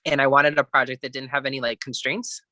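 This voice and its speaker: A man's voice: mid-range voice, little pitch movement, quick pace, bright, forceful tone, no echo. A man answering casually as a guest musician in an interview, talking in a rambling, reflective way.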